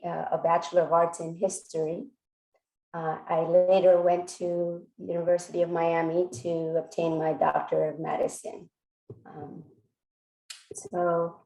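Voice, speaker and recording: A woman in her forties, born in South Korea with Korean as her first language, speaks in a slightly low voice. She talks in a measured way, often pausing on fillers and starting over. The sound is roomy and echoey, as if she sits well back from the mic on a video call. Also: darkish tone, recorded from a distance. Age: 40s. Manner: measured, hesitant, many fillers and restarts. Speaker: presenter. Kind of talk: personal introduction talk.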